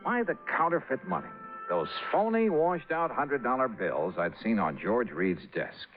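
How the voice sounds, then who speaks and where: An actor playing a smooth, self-assured American investigator who narrates wryly in a vintage radio detective drama. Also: male voice, medium pitch, very animated, normal speed, natural voice. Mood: wry narration. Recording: muffled vintage radio broadcast, music behind.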